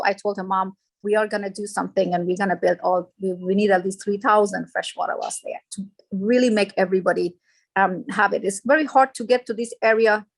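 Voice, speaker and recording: A woman's voice: mid-range voice, low-quality recording. A woman guest in a discussion, speaking emotionally in with broken grammar. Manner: emotional, non-native grammar.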